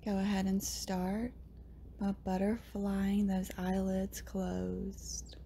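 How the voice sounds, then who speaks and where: A woman leading a guided meditation in a soft, breathy, often near-whispered voice, gently soothing, with long pauses between short phrases. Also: medium pitch, little pitch movement, a little hiss or hum. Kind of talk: guided meditation, slow and hushed.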